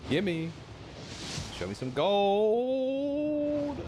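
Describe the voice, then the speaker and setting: A young man giving casual, slangy, playful reactions as he streams a game. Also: medium pitch.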